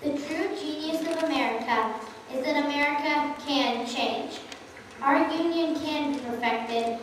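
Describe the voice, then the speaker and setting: A young woman reading a political speech aloud at a church service. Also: high voice. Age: young adult. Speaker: congregation youth member reading a speech. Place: large church, indoors. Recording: echoey church hall, far off, faint noise.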